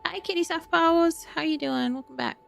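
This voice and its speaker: A woman's voice: very high-pitched, moderate pace, gravelly. A woman livestreaming a game, chatting casually and playfully and greeting viewers as she goes. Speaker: live game streamer. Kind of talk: casual livestream chat, reading game dialogue aloud. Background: faint background music.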